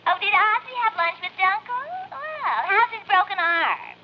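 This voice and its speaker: An actress playing a gushing, gossipy housewife in a confiding, effusive manner, in 1940s radio sitcom style. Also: female voice, very high voice, big pitch swings, normal speed. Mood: nosy, sweet. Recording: dull, noisy old radio recording.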